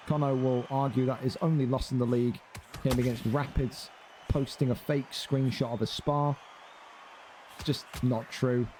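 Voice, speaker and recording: A man's voice: mid-range voice, dark tone, a little hiss or hum, low-quality recording. A man giving live, chatty commentary on an online boxing league, building up the fighters and bantering with viewers. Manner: chatty, hype-building, teasing asides to chat.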